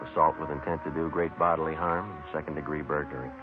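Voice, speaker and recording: An actor playing a terse, methodical police sergeant in a deadpan, matter-of-fact radio drama style. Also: male voice, extremely low pitch, fast talker. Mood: flat, factual. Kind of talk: 1950s radio drama acting and narration. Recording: muffled vintage radio recording, music behind.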